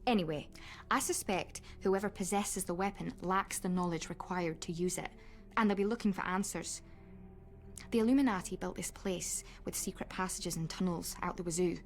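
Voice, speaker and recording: An actress playing a wry, exasperated Scottish academy teacher in a video game cutscene, speaking colloquially with dry self-mockery. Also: female voice, lowish voice, moderate pace, lightly acted. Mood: explaining.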